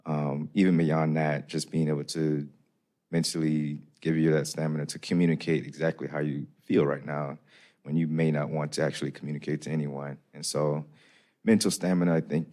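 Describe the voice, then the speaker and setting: A man in his thirties, a community advocate on a panel, speaking thoughtfully with frequent pauses and ums. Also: extremely low pitch, a little mellow. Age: 30s. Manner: thoughtful, hesitant with many ums. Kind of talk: panel discussion.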